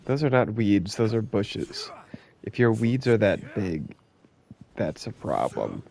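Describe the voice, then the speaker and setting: A man's voice: fairly low pitch, wildly swooping pitch, takes it slowly, creaky, darkish tone. A man streaming a game, tossing in blunt, sardonic asides in a casual way. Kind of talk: casual reactions to gameplay.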